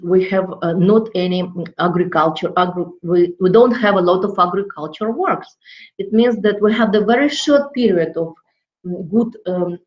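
A middle-aged woman with a Russian accent, giving a lecture over a video call from an office and often pausing to search for words. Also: lowish voice. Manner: halting, searching for words with many fillers. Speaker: guest lecturer. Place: office with framed certificates on the wall. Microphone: laptop webcam on a video call. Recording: dull lo-fi video call audio.

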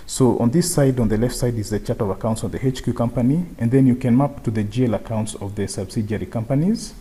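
A man presenting an unscripted software tutorial, explaining step by step and often repeating his phrases. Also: medium pitch, fast talker, husky. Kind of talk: unscripted screen-share tutorial.

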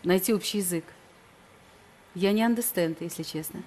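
A middle-aged woman hosting a television dating show, questioning a guest with quick, ironic teasing. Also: lowish voice, darkish tone, slight background noise. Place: large television studio.

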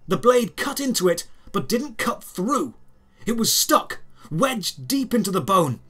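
Man, audio drama narrator, slightly high pitch, scripted first-person storytelling, measured and deliberate phrasing. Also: very animated, a bit bright, breathy edge.

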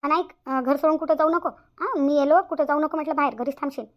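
A woman's voice: sits high, fast, muffled recording, natural voice. A woman voicing a fussy, nagging middle-aged village mother in a cartoon, repeating herself in a rural Maharashtrian accent. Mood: fussing. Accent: rural Maharashtrian Marathi. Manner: nagging, repetitive. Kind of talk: cartoon comedy dialogue.

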